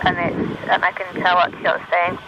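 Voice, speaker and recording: A speaker giving casual, halting replies over a staticky two-way CB radio link. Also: mid-range voice, monotone, muffled recording, heavy background noise. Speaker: radio test partner at the base station.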